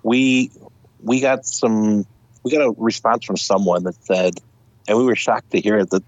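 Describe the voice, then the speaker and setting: A man's voice: on the low side, fairly even intonation, normal speed, no echo, dull audio. A man co-hosting a podcast in casual chat, mild and friendly, lightly amused. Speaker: podcast co-host.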